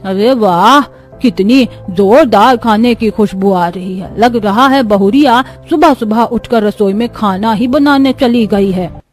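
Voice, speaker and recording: Mother-in-law, cartoon moral tale, played by a woman: effusive, welcoming, higher voice, full of admiration. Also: a little slow, faint music behind, close to an everyday voice. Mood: delighted. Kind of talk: Hindi cartoon story dubbing.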